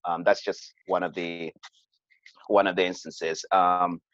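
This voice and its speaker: A man's voice: low-pitched, little pitch movement, a little slow, fairly bright tone, dry recording. A man from Cameroon, a podcast guest, telling his experiences in a hesitant, conversational way with many fillers and restarts. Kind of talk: conversational interview storytelling. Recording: dull, lo-fi sound.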